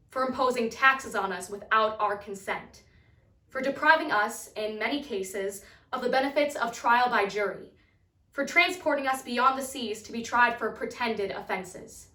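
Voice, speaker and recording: A woman's voice: on the high side, normal speed, bright, forceful tone. A woman giving a formal public reading of a historic document. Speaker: public reader at a commemorative event. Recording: roomy echo.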